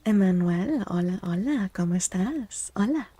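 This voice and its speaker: A woman from the United States chatting softly and casually in an ASMR style, with a gentle, encouraging manner, as a streamer talking to viewers. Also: medium pitch, expressive, moderate pace, dry recording. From United States, born to Mexican parents. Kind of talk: soft-spoken ASMR casual chat. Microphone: close, dry microphone.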